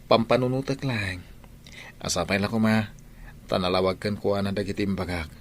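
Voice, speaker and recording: A man's voice: fairly low pitch, steady tone, normal speed, slight background noise, lightly acted. A man playing an earnest, dutiful young married father in a radio drama. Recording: music and crowd sounds behind.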